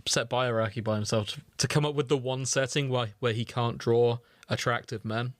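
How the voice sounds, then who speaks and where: A man's voice: mid-range voice, fairly even intonation, brisk, no echo, clean studio sound. A man co-hosting a podcast in casual comedic chat, with a wry, jokey manner and some swearing. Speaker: podcast co-host.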